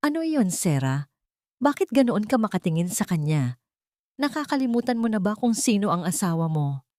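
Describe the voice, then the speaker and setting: A woman's voice: mid-range voice, sing-song, moderate pace, darkish tone, no echo, voice pushed up, lightly acted. Female narrator as jealous, controlling husband villain, cold and menacing, audio drama, dry sound. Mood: jealous anger. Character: cruel, possessive, jealous rich husband.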